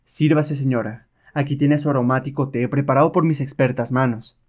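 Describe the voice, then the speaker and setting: A man's voice: medium pitch, steady tone, fairly quick, darkish tone, natural voice. A man as a cocky, mocking rebel adventurer. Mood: mock-polite. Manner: mocking, self-assured. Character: sly, cocky, rebellious enslaved male pirate adventurer. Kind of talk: dramatized comic-book reading.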